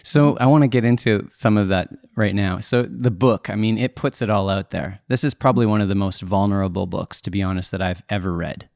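A man's voice: fairly low pitch, a little fast, gravelly, few pauses, a little mellow, dry recording, clean studio sound. A man hosting an interview, asking encouraging questions and laughing easily. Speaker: interview host. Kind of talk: podcast interview.

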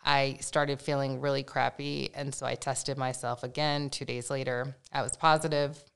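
A middle-aged woman, a podcast guest, telling stories in a chatty, animated way. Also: very deep voice, moderate pace, vocal fry, clean tone. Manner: chatty, animated storytelling with laughs. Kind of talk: casual conversational storytelling.

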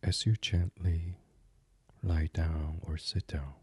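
Male sleep meditation host: hushed, very husky and breathy, unhurried, gentle, recorded close and dry. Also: sits low, level tone, dry recording. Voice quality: breathy, husky, partly whispered. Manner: soothing, hushed, gentle, with many pauses. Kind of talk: guided sleep meditation, soft ASMR reading.

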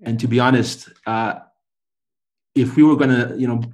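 A man's voice: medium pitch, steady tone, moderate pace, a little mellow. A man hosts a casual podcast about football. His voice sits in the middle of the male range. He opens the episode and trades teasing sports banter with his co-host.

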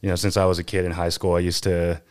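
A man's voice: low-pitched, completely flat delivery, fast, darkish tone, dry recording. A young man from Pasadena, a guest on a casual podcast, talking in an easygoing, rambling way with lots of fillers. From Pasadena, California. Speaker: podcast guest, van builder.

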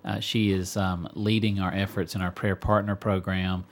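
A man's voice: low voice, level tone, moderate pace. A man co-hosting a religious radio program, speaking earnestly and repeating phrases for emphasis. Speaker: radio co-host and preacher. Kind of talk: religious talk radio discussion.

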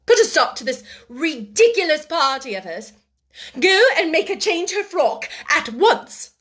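Storybook villainess: cruel, imperious schoolmistress played by a female narrator, high, biting, swooping delivery. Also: sing-song, unhurried, a bit bright, heavily acted. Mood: furious, resentful. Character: furious, cruel, greedy schoolmistress, a bitter woman. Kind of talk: dramatised storybook reading.

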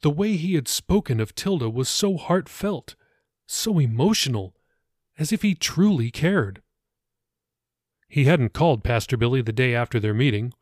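A man's voice: medium pitch, no room sound, clean studio sound. A man reading his own fiction audiobook as a scripted narration.

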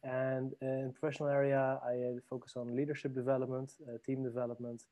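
Hesitant French man, dark dry voice. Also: mid-range voice, completely flat delivery, fast, no room sound. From France. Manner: thoughtful, hesitant, many fillers and restarts. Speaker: guest, leadership trainer. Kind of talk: podcast interview.

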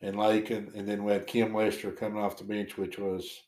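A man's voice: on the low side, flat delivery, moderate pace, a little mellow, echoey room. A middle-aged man with a Southwest Virginia accent, a former basketball coach turned principal, giving short, plain-spoken answers in a casual interview. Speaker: retired basketball coach, now school principal.

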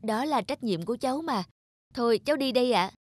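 An actor voicing a dutiful young rural postman in an animated folk tale, gentle, polite and reassuring. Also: medium pitch, brisk, natural voice.

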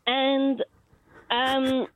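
A woman's voice: high-pitched, level tone, drawn-out words, no echo. A woman caller with a French accent, a native French speaker who talks hesitantly and searches for her words. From France. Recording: phone line.

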